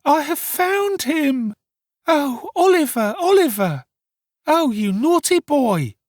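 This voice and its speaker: Man putting on a shrill, melodramatic young woman. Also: big pitch swings, takes it slowly, dry recording, clean studio sound, full character voice. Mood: hysterical, wailing. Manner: wailing, melodramatic exclamations. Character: shrill hysterical young woman feigning distress, scheming.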